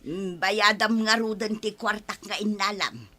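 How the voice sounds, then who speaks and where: An actress playing an emotional village wife in a radio drama, with an Ilocano accent and drawn-out, expressive exclamations. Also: female voice, medium pitch. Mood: suspicious, surprised. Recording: loud background music.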